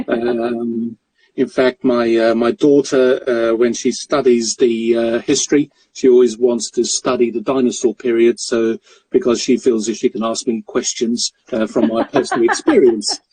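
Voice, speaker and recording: A middle-aged man from Mozambique, a podcast guest telling stories in a relaxed, self-deprecating way with frequent chuckles. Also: mid-range voice, normal speed, breathy edge, dry recording, low-quality recording. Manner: jokey, self-deprecating storyteller who laughs often. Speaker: guest, currency broker. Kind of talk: casual interview chat.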